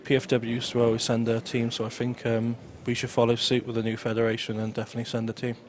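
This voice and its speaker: Flat-voiced hesitant male co-commentator. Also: medium pitch, fast talker. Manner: hesitant, many ums, conversational. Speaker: pool co-commentator. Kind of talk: casual live-stream commentary.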